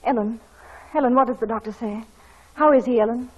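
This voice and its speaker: A woman's voice: a little high, fairly quick, lo-fi sound, close to an everyday voice. A woman playing a passionate, devoted young woman in love in a 1940s radio drama. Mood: anxious. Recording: noisy old radio broadcast.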